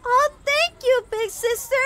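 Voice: very high voice, bright, forceful tone, exaggerated voice. An actor voicing an innocent, polite little orphan boy with a childlike, earnest manner in an audio roleplay.

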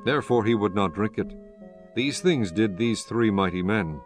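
A man narrating scripture from a script in a measured, formal manner. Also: fairly low pitch. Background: faint music.

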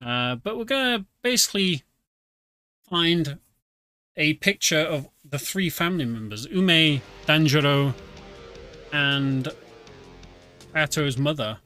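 Bright-voiced man commentating a game. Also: fairly high pitch, animated, takes it slowly, pauses often. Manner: explains as he plays, informal. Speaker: streamer, speedrunner. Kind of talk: casual live game commentary. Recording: game music behind the voice. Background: video game music and vehicle sounds.

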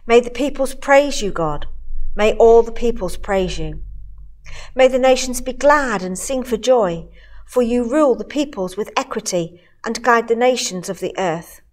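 A middle-aged woman leading worship, reading scripture in a measured, deliberate way. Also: on the high side, expressive. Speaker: Salvation Army officer leading worship. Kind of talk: scripture reading in a church service. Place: church hall, indoors.

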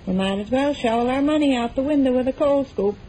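An actress playing a thrifty, exasperated wife who scolds with folksy sarcasm in a 1940s radio comedy. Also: female voice, high-pitched, fast, warm tone, close to an everyday voice. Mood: nagging. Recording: noisy old 1940s radio broadcast.

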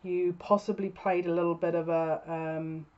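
A woman's voice: fairly low pitch, level tone, normal speed. Woman giving a tarot reading, dull audio. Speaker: psychic tarot reader. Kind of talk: casual spoken tarot reading to camera. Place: small furnished room at home, indoors. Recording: dull lo-fi webcam sound.

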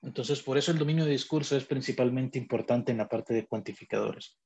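A man teaching a logic lesson over a screen recording, speaking in a measured, deliberate way and pausing to correct himself as he explains. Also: medium pitch, a little mellow, slight background noise. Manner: measured, deliberate, thinks aloud with pauses and self-corrections. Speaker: math teacher. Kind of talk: explaining logic notation on a digital whiteboard.

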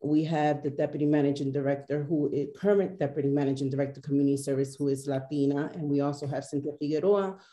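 Low, mellow-voiced woman, earnest and halting, webcam in an echoey office. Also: steady tone. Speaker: city official and panelist. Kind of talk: panel discussion on a video call. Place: small office room. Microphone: video call webcam.

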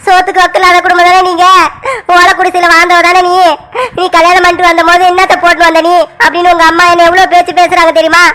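A woman as a hurt young wife, reproachful and pleading, very high bright voice, talks fast. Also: completely flat delivery, slight background noise. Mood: aggrieved. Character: aggrieved young wife, tearful and defiant. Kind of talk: family skit acting.

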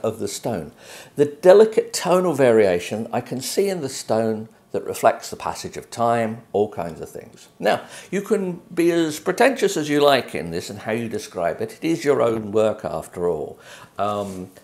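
A man's voice: mid-range voice, vocal fry, echoey room. A middle-aged man from England with an English accent, explaining photo printing casually to camera from his home office. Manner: conversational, gestures at prints while explaining. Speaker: photography educator. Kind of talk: unscripted explanatory talk to camera. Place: home office with bookshelves, indoors.

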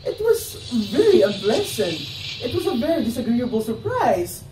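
A man's voice: very high-pitched, big pitch swings, normal speed. A young man acting in a stage play as a nervous, defensive ex-convict, with a hesitant, theatrical delivery. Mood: explaining. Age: young adult. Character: nervous, defensive ex-convict pleading his innocence. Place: indoor theatre set, far camera. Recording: echoey stage, distant mic, faint noise.

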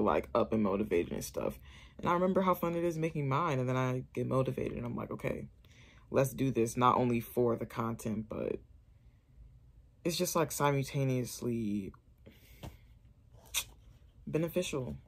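A woman's voice: a bass voice, gravelly. A young woman chatting casually to camera in a small bedroom, rambling with lots of fillers. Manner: rambling, loose, filler-heavy talk. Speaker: vlogger. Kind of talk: casual vlog chat.

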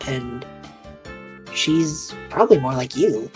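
A woman playing a tabletop roleplaying game, speaking casually and haltingly in character. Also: rumbling low, animated, a little mellow, soft background music.

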